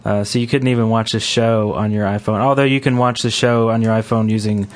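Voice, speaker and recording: A man's voice: lowish voice, level tone, brisk. A man hosting a gaming podcast in a casual, conversational style. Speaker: podcast host.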